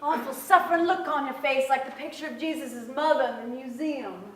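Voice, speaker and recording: A young actress playing a shy, fragile grown daughter in a staged drama, timid and earnest. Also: female voice, sits high, fairly quick, close to an everyday voice. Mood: gentle reproach. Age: young adult. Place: large theatre stage, indoors. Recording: echoey theatre, distant pickup.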